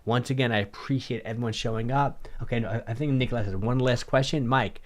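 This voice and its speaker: A middle-aged man hosting a livestream, a little hoarse from a cold, answering viewer questions in a rambling, casual way and often repeating himself. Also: medium pitch, fast talker. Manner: rambling, repeats words, restarts sentences.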